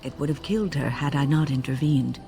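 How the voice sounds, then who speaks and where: A woman's voice: low voice, over music. An older actress playing a wise, composed mage woman, reflective and formal, in a video game. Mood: grave, reflective. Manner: measured, reflective, formal storytelling. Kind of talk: dramatic video game dialogue.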